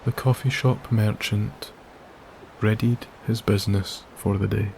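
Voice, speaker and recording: A man softly narrating a bedtime sleep story in a slow, soothing, gentle manner with long pauses. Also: fairly low pitch, steady tone, vocal fry, a little hiss or hum, poor audio. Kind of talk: soft-spoken ASMR sleep story narration. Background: wilderness ambience.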